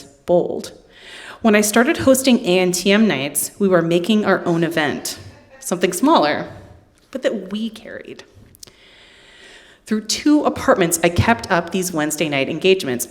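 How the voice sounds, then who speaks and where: A middle-aged woman giving a live literary reading in a hall, with deadpan, wry humour and casual asides to the listeners. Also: lowish voice. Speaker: poet reading from own book.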